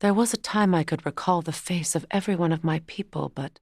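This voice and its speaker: A woman playing a proud, wounded warrior goddess and queen in a video game, measured, regal and dry. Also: on the low side, darkish tone, dry recording. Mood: somber, reflective. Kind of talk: dramatic video game voice acting.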